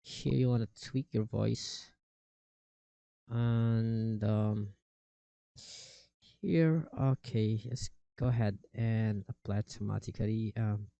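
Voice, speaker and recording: A man giving a casual software tutorial in a halting, step-by-step way, speaking into a cheap microphone. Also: fairly low pitch, vocal fry, dry recording. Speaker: tutorial presenter. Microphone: cheap microphone with software processing.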